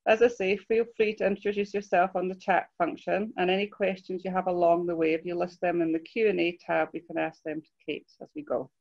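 A woman's voice: on the low side, little pitch movement, brisk, vocal fry, few pauses, darkish tone. A woman hosts a webinar and reads a polite, formal introduction of the speaker. Her voice comes through a slightly dull video call line. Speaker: webinar host. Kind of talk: scripted introduction. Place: home room, video call.

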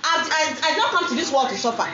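A woman with a Nigerian accent acting a scornful young wife in a comedy skit, mocking and badgering her husband in a shrill, repetitive way. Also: expressive, quick pace, crowd noise. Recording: echoey room, dull lo-fi, noisy.

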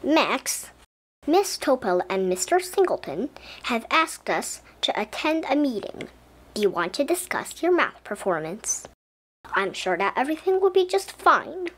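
A woman's voice: high voice, sing-song, a little slow, full character voice. A woman reading the part of a caring, firm mother talking to her young son in a storybook. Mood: gentle, reassuring. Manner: reassuring but instructive.

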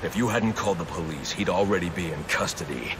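An actor playing a grim, obsessive vigilante in a video game, terse and brooding. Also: male voice, low voice, quick pace, background noise, lightly acted. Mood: curt, brooding. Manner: terse, curt. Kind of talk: video game cutscene acting.

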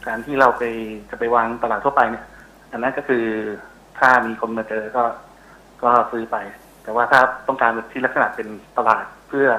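Male researcher guest, middle-aged, bright forceful voice at medium pitch, explanatory, pausing for words. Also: a little hiss or hum, poor audio. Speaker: interview guest, university researcher. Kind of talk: explanatory interview answers. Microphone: telephone call.

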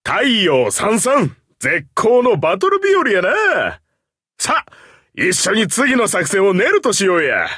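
A man's voice: sits high, wildly swooping pitch, slow, pushed and bright, slightly rough, no room sound, studio quality, exaggerated voice. An actor playing a hearty, easygoing middle-aged man with a Kansai accent in a mobile game. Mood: upbeat. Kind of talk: Japanese mobile game character acting.

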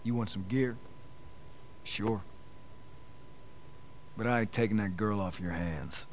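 An actor playing a guarded, resentful younger brother, a settler, firm and plain-spoken, in a video game. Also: male voice, fairly low pitch, slow pace, a little hiss or hum. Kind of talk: video game cutscene acting.

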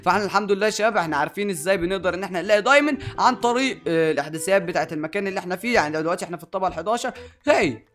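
A young man with an Egyptian Arabic accent hosting a gaming video in an animated way, addressing viewers and repeating phrases. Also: sits high, very fast. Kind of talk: excited gameplay commentary.

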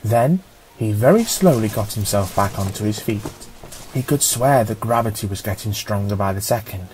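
A man narrating a scripted story as an audiobook reader, with a measured storytelling manner. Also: fairly low pitch, animated, a little mellow, a little hiss or hum, rough recording.

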